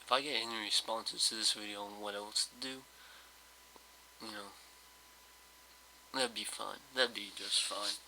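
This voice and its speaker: Flat, rambling man, slightly hoarse. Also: fairly low pitch, measured pace, long pauses, slight background noise, rough recording. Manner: rambling, hesitant, full of fillers. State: slightly hoarse, just woke up. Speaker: let's play host. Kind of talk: casual gameplay commentary.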